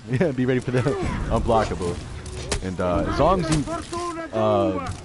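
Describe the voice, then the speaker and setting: A man live-streaming a game with casual, profane commentary, his voice raspy and hoarse from a sore throat. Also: fairly low pitch, sing-song, measured pace. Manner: loose, profane, rambling. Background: game music.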